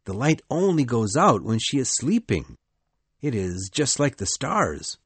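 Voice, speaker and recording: A woman's voice: a bass voice, very animated, measured pace, husky, no room sound, pitched below the performer's own voice, full character voice. A woman reading aloud, voicing a boastful, fearless young boy leader in a matter-of-fact, cocky way.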